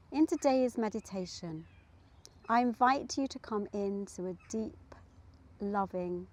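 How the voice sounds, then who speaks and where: A woman leading a guided meditation in a gentle, soothing manner, leaving long pauses between short, deliberate phrases. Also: mid-range voice, a little hiss or hum.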